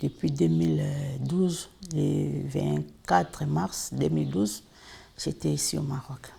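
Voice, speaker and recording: Very gravelly, slow-speaking Congolese woman. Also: low voice, steady tone, mellow. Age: 40s. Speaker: interviewee.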